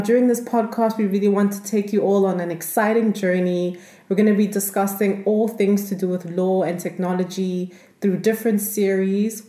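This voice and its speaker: A woman who grew up in South Africa, co-hosting a law and technology podcast and explaining topics in a relaxed, conversational way. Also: mid-range voice. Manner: relaxed and explanatory, with frequent fillers. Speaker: podcast co-host, law researcher. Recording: echoey room.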